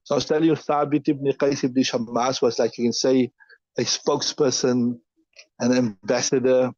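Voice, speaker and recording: A man's voice: mid-range voice, flat delivery, normal speed, darkish tone, dry recording, lo-fi sound. A man teaching a hadith class, reading each line aloud and then explaining it step by step.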